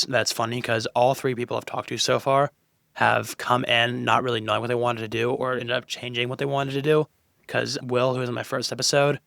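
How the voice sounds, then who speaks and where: A man's voice: mid-range voice, gravelly, pushed and bright, dry recording, studio quality. A young man hosting a casual interview podcast, asking long, loose, rambling questions. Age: young adult. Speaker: podcast host.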